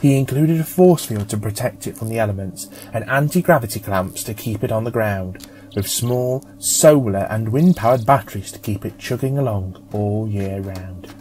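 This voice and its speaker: A man narrating a scripted story as an audiobook reader, with a measured storytelling manner. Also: fairly low pitch, darkish tone.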